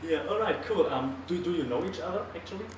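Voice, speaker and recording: A man from Germany hosting a casual student hangout, upbeat and quick to laugh. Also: a little high, lively intonation, over a noisy crowd. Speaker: host. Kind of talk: casual chat. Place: classroom. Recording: echoey room, far from the mic.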